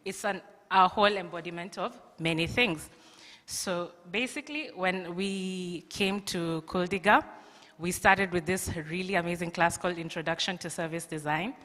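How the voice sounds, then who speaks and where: A woman's voice: fairly low pitch, fairly even intonation, moderate pace, fairly bright tone. A woman from Kenya, a student, giving an upbeat conference presentation. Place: conference hall. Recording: long hall reverb.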